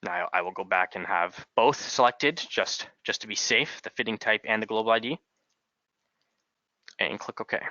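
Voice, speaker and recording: A man giving a software tutorial as a trainer, talking in a halting way with repeated words, in a slightly dull recording. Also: fairly low pitch, no room sound.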